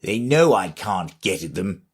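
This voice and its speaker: A man voicing a proud, bossy talking flower in a storybook reading, drawling slowly and grandly. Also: low voice, sing-song, husky, exaggerated voice. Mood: imperious. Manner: slow, grand, imperious.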